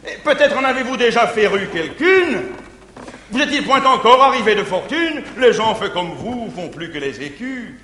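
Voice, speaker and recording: A man's voice: high-pitched, expressive, normal speed, pushed and bright. An actor playing a scheming middle-aged bourgeois man in a 1950s verse stage comedy, jovial and mocking, laughing often. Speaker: Arnolphe. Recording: old theater recording, echoey hall, faint hiss.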